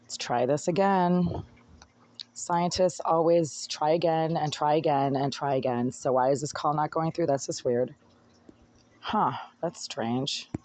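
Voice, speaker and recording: Hoarse, aggrieved tenant; rambling self-recorded rant into a handheld phone, mid-range pitch. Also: fairly even intonation, a little slow, darkish tone. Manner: aggrieved, rambling, talks while fiddling with phone. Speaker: tenant filing complaints. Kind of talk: agitated self-recorded complaint monologue. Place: apartment indoors. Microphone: phone held by speaker.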